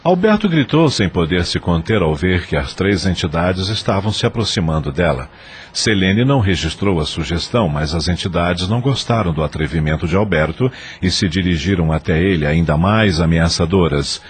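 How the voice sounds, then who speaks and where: A man's voice: low-pitched, expressive, normal speed. A man reading scripted narration for a dramatized audio novel.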